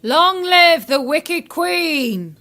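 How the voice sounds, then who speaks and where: A woman's voice: fairly high pitch, lively intonation, a bit bright, faint music behind. A woman playing a superstitious, uneasy male palace guard in a children's story, with dramatic, old-fashioned delivery. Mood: wary. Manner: slow, dramatic, mock-Shakespearean.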